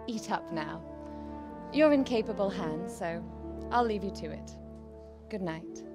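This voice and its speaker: A woman acting a gracious, kind medieval lady in a video game cutscene, gentle and genteel in manner. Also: mid-range voice, animated, unhurried. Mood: welcoming. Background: music.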